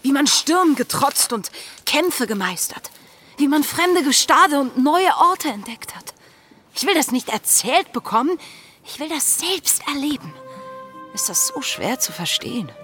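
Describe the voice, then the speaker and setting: A woman acting a defiant young woman yearning for adventure in a German radio drama, impassioned and expressive. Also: sits high, measured pace, soft background music, heavily acted. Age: young adult.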